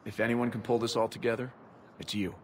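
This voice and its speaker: An actor playing a grieving, reflective soldier in a video game, weary but loyal, in a subdued musing way. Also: male voice, medium pitch, level tone, fast, big-room sound, lightly acted. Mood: steady. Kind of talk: video game cutscene dialogue.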